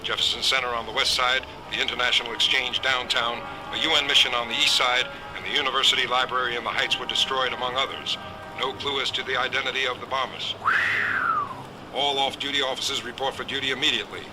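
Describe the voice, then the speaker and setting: A man playing a formal, authoritative announcer, measured and dramatic in an old audio drama. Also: medium pitch, flat delivery, music in the background, close to an everyday voice, rough recording. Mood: grave. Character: authoritative, solemn male announcer. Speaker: narrator and announcer. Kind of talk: 1970s audio drama narration.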